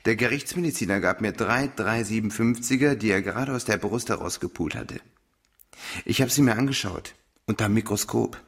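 An actor playing a wary, wry police lab technician with a dry, guarded manner in a German radio crime drama. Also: male voice, on the low side, brisk, husky.

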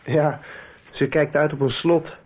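A man's voice: a little high, steady tone, measured pace, darkish tone, husky. An actor playing a tired, polite and unsure young man in a radio soap. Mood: weary, polite. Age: young adult.

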